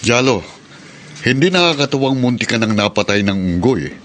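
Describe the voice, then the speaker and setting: Forceful, scolding middle-aged father played by a man. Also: medium pitch, expressive, moderate pace, soft background music, close to an everyday voice. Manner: scolding, emphatic. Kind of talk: radio horror drama acting.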